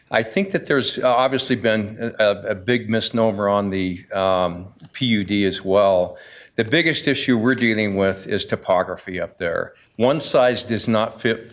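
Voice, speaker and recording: A man in his sixties from Idaho, a property developer giving earnest, insistent public testimony at a city council podium, often restarting his phrases. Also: on the low side.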